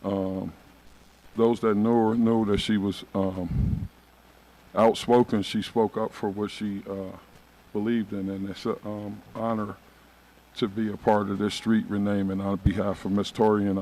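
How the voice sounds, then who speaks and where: Low voiced guy on city council, lots of ums, reminiscing. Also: flat delivery, measured pace, a little mellow, rough recording. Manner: reminiscing, filler-heavy. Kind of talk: council remarks. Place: council chamber.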